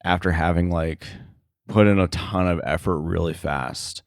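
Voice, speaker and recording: A man speaking casually as an interview guest about his work, thinking aloud in a halting way. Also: low-pitched, no room sound, clean studio sound. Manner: halting, thinking aloud, frequent filler likes. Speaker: sound editor, interview guest.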